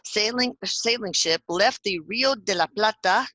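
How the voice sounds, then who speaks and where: A woman's voice: mid-range voice, unhurried, sharp tone, no room sound. A middle-aged woman hosting a casual chat, reading aloud from notes with joking asides and spelling out names. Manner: chatty, jokey, reads with asides and spelled-out names. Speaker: host.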